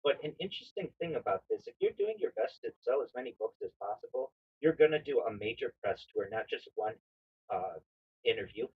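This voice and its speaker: A man streaming a casual explainer monologue, halting with frequent fillers and restarts. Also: medium pitch, very animated, breathy edge, muffled recording. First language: not Hebrew.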